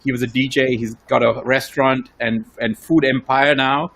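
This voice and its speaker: A man's voice: mid-range voice, steady tone, moderate pace, creaky, a bit bright. A man from Sri Lanka, chatting casually as a show co-host. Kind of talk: casual conversational interviewing.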